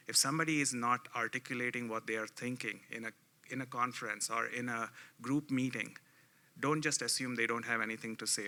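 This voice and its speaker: A man from India with an Indian accent, sharing an earnest, measured anecdote as a conference panelist. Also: medium pitch, sharp tone.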